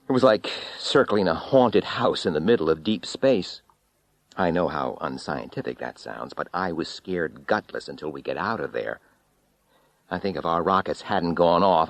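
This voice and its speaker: A man with a deep voice reads a first-person story dramatically. His intonation moves widely, brooding and expressive, with weighted pauses. The sound is very dry, with no room around it. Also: creaky, dry recording. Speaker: audiobook reader.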